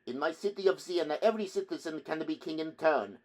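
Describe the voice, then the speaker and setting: A man voicing a cocky, indignant young Italian man in a storybook reading, loud and declamatory. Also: on the high side, expressive, fairly quick, pitched above the performer's own voice, lightly acted. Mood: proud, defiant.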